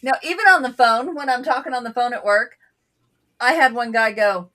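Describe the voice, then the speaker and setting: Brief: outspoken woman co-host, native Bulgarian speaker, slightly high voice, loose casual chat. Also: normal speed, bright, forceful tone. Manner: blunt, outspoken storyteller.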